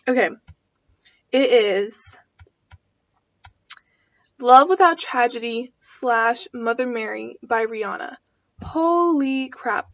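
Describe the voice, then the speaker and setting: Chatty young woman, dull lo-fi audio. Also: fairly high pitch. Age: 20s. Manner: chatty and rambling, full of fillers. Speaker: vlogger. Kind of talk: casual chat answering tag questions. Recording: dull lo-fi home video audio.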